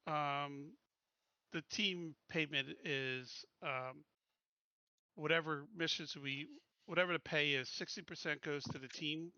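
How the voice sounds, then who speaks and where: A man's voice: on the high side, sharp tone, dry recording, muffled recording, heavily acted. A man playing a big mercenary recruiter in a tabletop game, businesslike and welcoming, with hesitant delivery.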